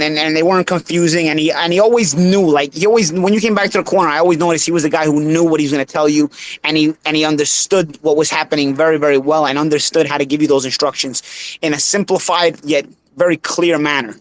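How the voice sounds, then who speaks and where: A man in his thirties with a Bensonhurst Brooklyn accent, a former boxer hosting a casual boxing talk show, animated and tripping over repeated words. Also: fairly high pitch, no room sound. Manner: animated, piles up quick restarts and repeated words.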